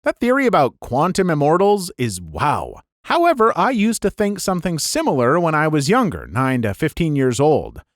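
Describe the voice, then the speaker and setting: A man's voice: on the high side, normal speed, few pauses, no room sound, clean studio sound. A man narrating a storytelling channel, reading viewer comments aloud with a soothing voice and chatty, self-mocking asides. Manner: lively, laughs at himself in the asides.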